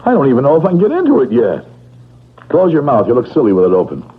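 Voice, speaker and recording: Gruff, irascible old man, wildly swooping voice. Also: mid-range voice, wildly swooping pitch, quick pace. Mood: exasperated. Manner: grumbling, curt, barking. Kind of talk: old-time radio drama acting. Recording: muffled, noisy 1970s radio recording.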